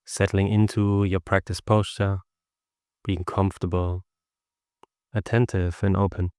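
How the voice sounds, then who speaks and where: Male meditation guide, deep clear resonant voice, gentle instructive delivery with long pauses. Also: flat delivery, no room sound, studio quality. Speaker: meditation teacher.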